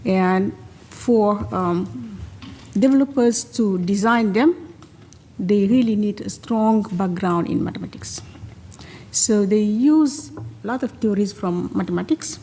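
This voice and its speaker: Slow-spoken woman from Senegal in a hall. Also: mid-range voice, mellow, a little hiss or hum. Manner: slow, measured, with frequent pauses and ums. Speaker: panel speaker, mathematician. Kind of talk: conference panel remarks. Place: large conference hall. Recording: reverberant hall with faint ambience.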